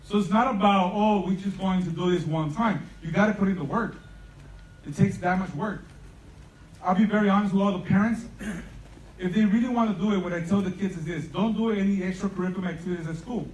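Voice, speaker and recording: High-pitched man, from El Salvador, motivational speaker, emphatic, roomy distant recording, faint noise. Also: a little fast, a little mellow. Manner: impassioned, emphatic, seeks agreement with "right?". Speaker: program founder and speaker. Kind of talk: motivational public speech to parents and students. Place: large room at a live event.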